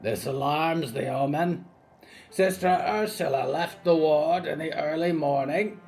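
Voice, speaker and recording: A male narrator voicing a curious, gossipy raven-demon that croaks and talks about itself in the third person. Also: on the high side, a little slow, a little mellow, voice pushed up. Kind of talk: audiobook character voice.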